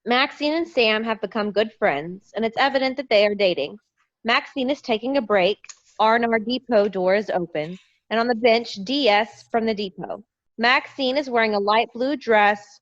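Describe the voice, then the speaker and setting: A woman's voice: fairly high pitch, moderate pace. A woman reading stage directions as scripted narration in a table read over a video call. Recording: slightly dull video-call audio.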